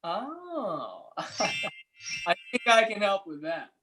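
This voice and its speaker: Performer as bewildered servant, hesitant, stammering, animated pitch, comic audio drama, noisy recording. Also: slow pace. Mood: friendly. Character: baffled, kindly servant who hears animals' thoughts.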